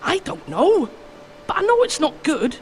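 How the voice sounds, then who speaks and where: An actor playing a gentle, youthful teenage lord in a fantasy game, with a soft-hearted manner. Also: male voice, extremely high pitch, wildly swooping pitch, unhurried, faint music behind, heavily acted. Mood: stung. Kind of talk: dramatic fantasy game acting.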